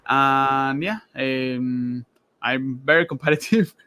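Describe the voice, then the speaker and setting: A man's voice: medium pitch, takes it slowly, pushed and bright, no echo. Laughing Venezuelan-born man, close and dry. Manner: laughs often, many restarts and fillers. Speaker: guest game designer. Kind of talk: casual podcast interview.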